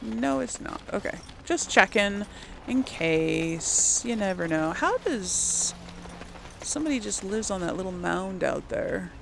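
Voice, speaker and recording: A woman gives casual, chatty commentary as a gaming host, musing aloud as she goes. Her medium-pitched voice is often creaky with fry. Faint game ambience sits behind her. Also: expressive, a little slow, a little hiss or hum. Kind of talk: casual let's play commentary.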